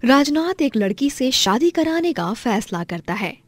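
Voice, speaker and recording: A woman's voice: high voice, sing-song, a little slow, no room sound. A woman reading a scripted story as its narrator.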